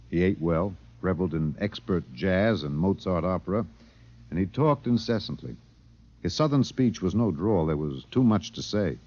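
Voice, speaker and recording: Grave newsman narrator, deep and measured, dramatic 1950s radio storytelling, dull vintage sound. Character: grave male newsman narrator recalling a stranded pilot friend.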